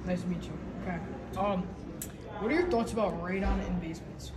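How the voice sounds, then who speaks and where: A man's voice: sits high, a little fast, heavy background noise. A teenage male student doing casual interviews on a phone in school hallways. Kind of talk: casual street-style interview. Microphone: handheld phone. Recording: distant phone recording with loud chatter.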